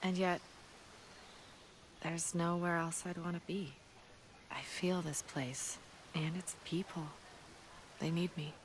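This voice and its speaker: An actor plays a battle-hardened resistance fighter from an apocalyptic future in a video game cutscene. The delivery is earnest, solemn and deliberate. Ambient noise is clearly audible behind the voice. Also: mid-range voice, flat delivery, close to an everyday voice. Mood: solemn, wistful.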